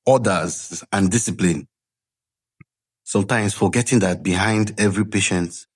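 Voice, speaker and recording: A man narrating a scripted folktale dramatically, with measured suspense and deliberate pauses, as a storyteller. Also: lowish voice, darkish tone, breathy edge. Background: background music.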